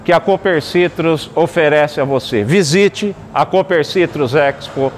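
Middle-aged man calmly walking through a demo. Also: on the high side, unhurried, slight background noise. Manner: steady explanatory tone, narrating each click. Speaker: company executive demonstrating a digital fair. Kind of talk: product walkthrough. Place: large indoor store.

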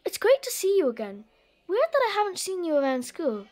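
Headstrong, high-voiced young girl. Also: fairly quick, heavily acted. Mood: friendly, bossy. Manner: expressive, emphatic. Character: Cara, headstrong, friendly, chatty young girl. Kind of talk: audio drama acting for children.

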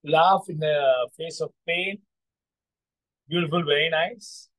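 A man with an Indian accent hosting as a coach, telling motivational stories in a halting, repetitive, encouraging way. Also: a little high, a bit bright, no room sound, low-quality recording. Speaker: coach and host. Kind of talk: motivational storytelling to an online group.

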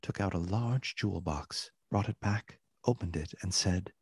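A narrator with a husky voice, reading a literary tale as scripted narration in a radio play. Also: low voice, moderate pace, a little mellow, dry recording, studio quality, exaggerated voice. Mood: measured.

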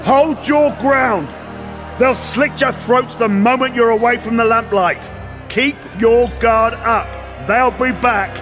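A weary, wary mercenary captain talks bluntly in a fairly high male voice, used to giving orders. Music runs behind him in this fantasy audio drama. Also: slow. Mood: urgent, shouting. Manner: plain-spoken, gruffly commanding.

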